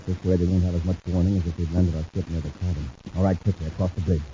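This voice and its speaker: An American actor playing a decisive, steady space patrol commander in a 1950s radio adventure, brisk and commanding. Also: male voice, low-pitched, close to an everyday voice. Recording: muffled, noisy old radio recording.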